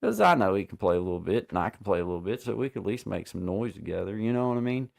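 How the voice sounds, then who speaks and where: A man's voice: on the low side, expressive, rapid-fire, vocal fry, slight background noise. A man hosting a casual live stream chat, talking in an easygoing, folksy way.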